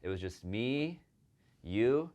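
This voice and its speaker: A man's voice: medium pitch, big pitch swings, takes it slowly, a little mellow. A man hosting an interview, speaking casually and praising his guest with many fillers. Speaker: show host and agency owner.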